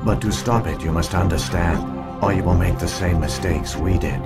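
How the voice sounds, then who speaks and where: An actor voicing a damaged ancient AI archive system, speaking in a slow, solemn, measured way. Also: male voice, low voice. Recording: game audio with loud music.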